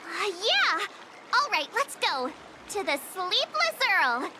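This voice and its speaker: Very high, bubbly teenage heroine. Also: female voice, slow, heavy background noise, full character voice. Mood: eager, pleading. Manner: excitable, earnest, dramatic. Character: bubbly, eager teenage girl archer turned sword apprentice. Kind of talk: anime-style game voice acting. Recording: music behind the voice. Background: game music.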